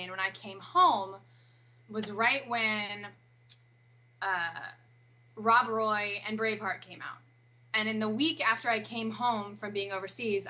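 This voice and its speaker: A woman in her forties, a guest on an interview, telling a lively story and laughing at herself. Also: medium pitch, slight background noise, rough recording. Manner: animated storyteller, laughs through her own anecdotes. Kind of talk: casual interview chat.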